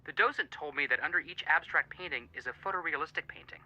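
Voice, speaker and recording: An actor playing an earnest, excitable male detective friend with a punning streak in a video game, dramatic, heard through a phone filter. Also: on the high side, quick pace, lo-fi sound. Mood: earnest, enthusiastic.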